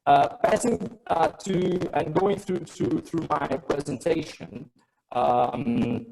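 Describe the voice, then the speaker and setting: A man's voice: a little high, animated, slow pace, vocal fry, a little mellow, poor audio. A man with a Portuguese accent giving a business presentation over a web call, hesitant and often repeating words and phrases. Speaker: investment webinar presenter. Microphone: computer on a web call.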